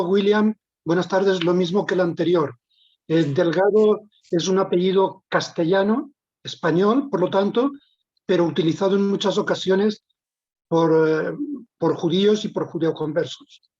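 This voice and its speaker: A man lecturing in answer to audience questions in a measured, explanatory way. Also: sits high, a little mellow. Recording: dull lo-fi online call.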